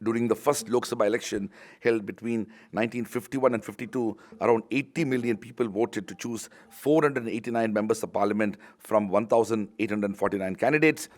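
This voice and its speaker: This is a middle-aged man speaking English with an Indian accent, his first language being Tamil. His voice sits slightly low as he delivers a formal launch speech in an academic register. He talks in a measured way, breaking his sentences with frequent hesitant pauses. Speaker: university vice chancellor speaking at a book launch.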